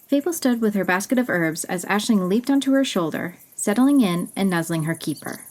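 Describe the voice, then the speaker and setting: A woman narrating a fantasy story in a gentle, unhurried way. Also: mid-range voice, animated. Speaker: audiobook narrator.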